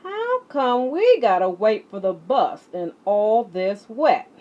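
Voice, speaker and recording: A woman's voice: on the high side, sing-song, slow, mellow, highs cut off. A woman voicing a curious, grumbling young boy in a storybook, with a whiny, questioning manner. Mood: complaining.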